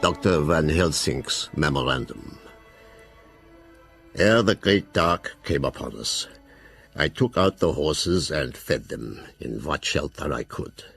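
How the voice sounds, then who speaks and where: An actor playing a grave, fearful old professor who hunts vampires, narrating his journal solemnly in an audio drama, with a gravelly, husky voice. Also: rumbling low, animated, slow pace, a bit bright, faint music behind, heavily acted.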